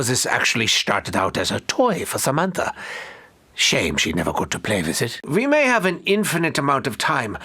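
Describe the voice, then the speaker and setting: An actor playing an arrogant, menacing German-accented mad doctor in a video game, with grandiose, formal, theatrical delivery. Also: male voice, sits high, bright, forceful tone, exaggerated voice. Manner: grandiose, formal, precisely worded. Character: arrogant, menacing male mad doctor battling undead hordes.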